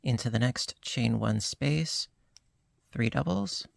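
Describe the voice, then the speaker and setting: A slightly hoarse crochet teacher walks through each stitch at a slow, unhurried pace. The voice has a gravelly fry and sits dry and close, with no room around it. The delivery stays calm and patient throughout. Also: medium pitch, dry recording. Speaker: crochet instructor. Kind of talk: step-by-step crochet tutorial.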